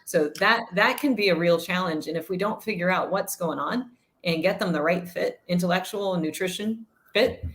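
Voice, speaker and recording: A woman with a notably low voice talks as an interview guest. She explains at length in an articulate, measured way and fills her pauses with frequent ums and uhs. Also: a bit bright, a little hiss or hum. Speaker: guest, researcher and parent. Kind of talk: casual interview chat.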